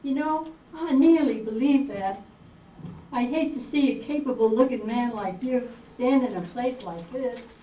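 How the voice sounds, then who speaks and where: Woman playing mellow, flirty blonde temptress. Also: a little high, unhurried, natural voice. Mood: flirty, teasing. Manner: smooth-talking, teasing. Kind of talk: 1950s radio noir acting on stage. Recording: echoey room, distant mic, background noise.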